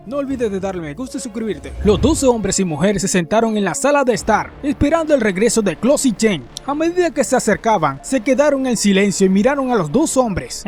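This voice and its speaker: A man narrating a scripted, dramatic story. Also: sits high. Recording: faint music behind. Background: background music.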